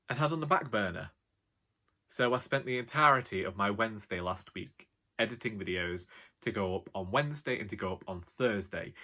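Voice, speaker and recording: A British man with a loud voice rambling casually to camera in a wry, sarcastic way, like a vlogger. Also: on the low side. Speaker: vlogger and author.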